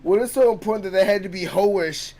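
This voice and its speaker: A man's voice: high-pitched, fairly quick, dark tone. A young man co-hosting a casual gaming chat, loose and profane, ranting about games. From Philadelphia area. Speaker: podcast co-host.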